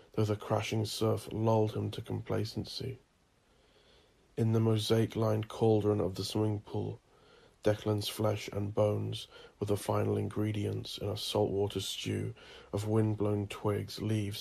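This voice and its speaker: A man reads gothic horror in a low voice full of heavy gravel. His delivery is slow, ominous and deliberate, shaped as theatrical storytelling for dark fiction. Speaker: narrator of a horror audiobook drama. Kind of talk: dramatic gothic horror narration.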